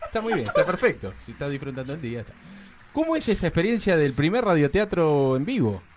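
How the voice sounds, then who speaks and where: Animated Argentine male radio host. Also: fairly high pitch, quick pace. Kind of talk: live interview and presenting.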